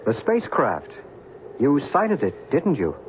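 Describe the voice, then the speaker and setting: A man's voice: mid-range voice, huge pitch swings, a little slow. An actor playing an eager, knowing man who presses his companion in an old-time radio drama. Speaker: supporting actor. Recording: narrow-band old radio recording, faint noise.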